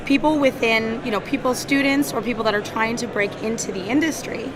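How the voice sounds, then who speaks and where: A woman's voice: a little high, brisk, crowd noise. Woman with a scratchy voice, conference talk. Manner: earnest, repeats words often. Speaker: HR recruiter giving a conference talk. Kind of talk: conference presentation. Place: conference hall.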